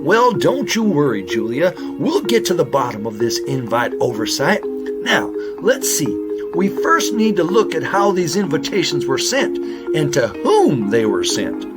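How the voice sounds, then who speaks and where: A man plays an earnest raccoon sheriff for a children's audio mystery. The voice is high and swings lively in pitch, folksy and inquisitive, with music behind it. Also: unhurried. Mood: reassuring, inquisitive. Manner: animated, folksy, wordplay-loving. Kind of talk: children's audio drama acting.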